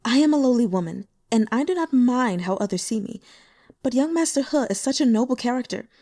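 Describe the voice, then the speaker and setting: A woman's voice: a little high, animated, brisk, no room sound, clean studio sound, voice pushed up. A woman doing a coy, scheming young woman. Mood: pleading. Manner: soft, demure and sweetly pleading.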